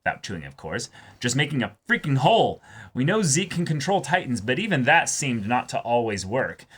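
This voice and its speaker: A man hosting a casual reaction show, chatting and reading viewer comments in an animated, halting way that often repeats words. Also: medium pitch, husky.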